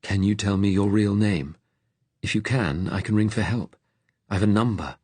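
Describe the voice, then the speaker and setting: A man voicing a kindly, weary vicar in an audiobook, gentle but direct and firm. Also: lowish voice, normal speed, slightly rough, dry recording. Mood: calm, concerned. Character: kindly, weary male vicar, calm and firmly commanding.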